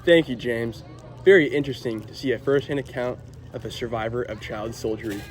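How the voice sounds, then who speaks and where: A man's voice: mid-range voice, fairly quick. A young man acting as anchor of an amateur news segment, using a formal delivery.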